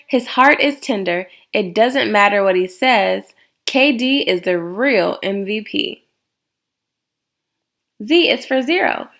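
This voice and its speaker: A woman reading a children's picture book aloud in deliberate, separated phrases. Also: mid-range voice, creaky, fairly bright tone.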